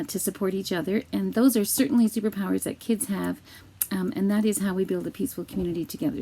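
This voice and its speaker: Woman, 50s, educator addressing pupils; soft-edged tone with noticeable vocal fry. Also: medium pitch. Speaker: school staff leader addressing students. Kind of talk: informal welcome message. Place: home kitchen.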